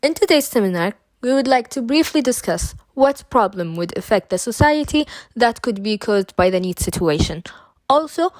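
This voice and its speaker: A woman's voice: on the high side, no room sound, poor audio. A young woman from Kuwait, a student presenting at a seminar, reading prepared remarks with small restarts.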